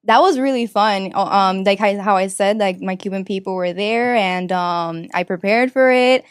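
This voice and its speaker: A woman's voice: medium pitch, normal speed, clear, resonant tone, dry recording. A young woman from Miami, a singer-songwriter guest, answering interview questions casually in a studio with many fillers and restarts. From Miami, United States. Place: podcast studio.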